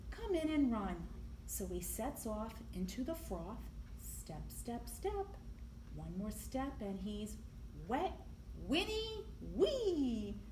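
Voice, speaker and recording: A woman reading a picture book aloud to young children in an expressive, deliberate storytelling manner, with emphasis on the sound words. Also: on the high side. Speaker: library storyteller. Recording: echoey room, clear background noise.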